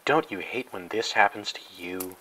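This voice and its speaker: A man with a fairly low, bright and forceful voice narrates a spoof educational film. He delivers it deadpan, with mock-serious grandeur, over some faint background noise. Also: normal speed, poor audio. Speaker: narrator and host.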